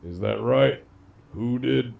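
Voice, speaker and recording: A man's voice: mid-range voice, slow pace, gravelly, phone-quality sound. A man playing a sly, cocky captive villain in an audio drama, with a harsh, scratchy voice and a sardonic drawl. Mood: mocking. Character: sly cocky imprisoned male villain with damaged throat.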